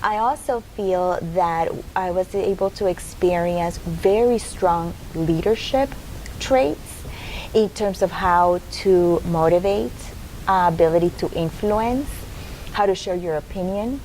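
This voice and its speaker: A woman's voice: on the low side, a little mellow, a little hiss or hum, rough recording. Thoughtful woman in her forties. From Venezuela. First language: Spanish. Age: 40s. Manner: thoughtful, measured, many ums and "and stuff like that". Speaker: guest. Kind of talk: casual interview.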